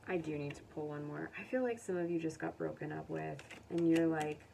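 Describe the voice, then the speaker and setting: A woman giving a casual tarot reading to viewers, speaking gently and consolingly in an informal way. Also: deep voice, steady tone, brisk, gravelly. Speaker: tarot reader. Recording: distant mic, faint background noise.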